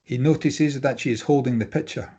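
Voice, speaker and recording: A man's voice: mid-range voice, brisk, warm tone, breathy edge, highs cut off. A man reading stage directions aloud as scripted narration at an informal drama table read.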